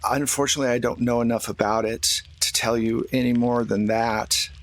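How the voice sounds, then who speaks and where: A man voicing a worried, apologetic troll who gives grave, measured warnings in a tabletop game. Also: medium pitch, fairly even intonation, close to an everyday voice. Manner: measured, halting, explanatory.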